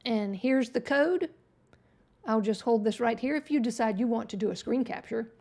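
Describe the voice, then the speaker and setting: Chatty woman, voice going hoarse. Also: fairly high pitch, normal speed, a little mellow. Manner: chatty, folksy, talks through each click. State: losing her voice. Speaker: web design livestream host. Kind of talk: casual screen-share tutorial chat.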